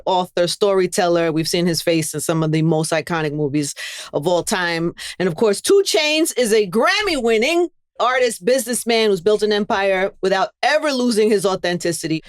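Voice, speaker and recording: A woman's voice: mid-range voice, dry recording, clean studio sound. Conversational middle-aged woman host. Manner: warm, affirming, conversational with frequent mm-hmms. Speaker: podcast host. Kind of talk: interview hosting.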